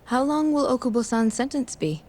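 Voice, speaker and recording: A woman's voice: on the high side, sing-song, brisk, a little mellow. An actress playing a hesitant, polite female hospital worker in a video game.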